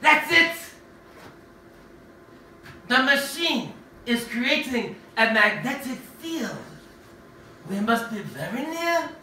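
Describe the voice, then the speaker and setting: A woman's voice: high voice, sing-song, slow, long pauses, bright, forceful tone, heavily acted. A woman acting an obsessed, secretive professor in a stage version of a radio play, with a dramatic, emphatic delivery. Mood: intent, excited. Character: obsessed, secretive professor hunting a buried ancient machine. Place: theatre stage, picked up from afar. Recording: roomy, distant stage recording with faint noise.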